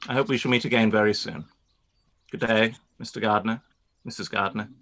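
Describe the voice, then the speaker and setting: A man playing a reserved, proud young gentleman landowner in a radio play, speaking formally with brief hesitations. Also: on the low side, fairly even intonation, brisk. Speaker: actor in a live-read radio play. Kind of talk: period radio play acting.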